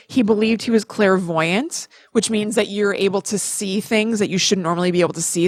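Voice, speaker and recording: A woman's voice: medium pitch, no room sound, studio quality. A woman co-hosting a podcast, telling stories in a casual, chatty way and correcting herself as she goes. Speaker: podcast co-host. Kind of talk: casual conversational storytelling.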